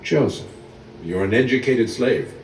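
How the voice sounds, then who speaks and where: A composed master and captain in an animated film. His measured, mid-pitched voice moves expressively, a little distant and echoey over faint music. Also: slight background noise. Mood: approving, curious.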